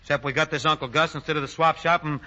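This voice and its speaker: A man's voice: on the high side, steady tone, fast talker, bright, forceful tone. An actor playing a breezy, evasive young man in casual, placating 1940s radio style. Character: breezy, evasive, happy-go-lucky grown son, horse gambler. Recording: muffled old 1940s radio recording.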